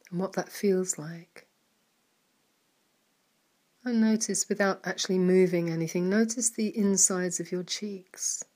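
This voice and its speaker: A woman leading a guided mindfulness meditation in a soft, calm manner, with some words trailing into a whisper. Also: on the low side.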